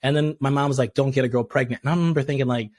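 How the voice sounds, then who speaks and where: A young man from Tanzania, a podcast guest, chatting casually and acting out quotes with sudden jumps in pitch, laughing often. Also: fairly even intonation, very fast, a little mellow, no room sound. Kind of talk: casual interview chat.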